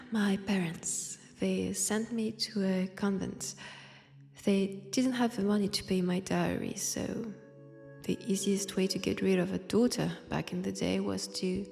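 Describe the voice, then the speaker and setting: A woman's voice: mid-range voice, creaky, darkish tone. A woman with a French accent playing a wistful, wry centuries-old vampire in a convent, in an intimate audio roleplay, hesitant and sighing. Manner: hesitant, sighing, drifting into near-whispers. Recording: long church-like reverb.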